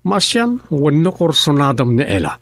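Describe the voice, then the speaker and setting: An angry friend in a radio play, voiced by a man who reacts with shock and confronts his rival. His voice sits slightly high. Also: very animated, moderate pace, no room sound. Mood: confrontational. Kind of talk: radio drama acting.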